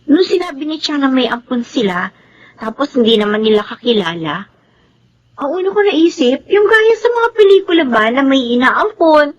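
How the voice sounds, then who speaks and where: A woman's voice: high-pitched, dull audio. A Filipino woman playing a friendly, chatty, teasing young schoolgirl in a radio drama.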